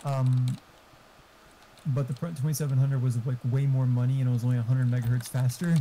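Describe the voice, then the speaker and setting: A man's voice: mid-range voice, level tone, a little fast, mellow, slight background noise, low-quality recording. A man chatting casually over gaming voice chat, rambling and swearing freely.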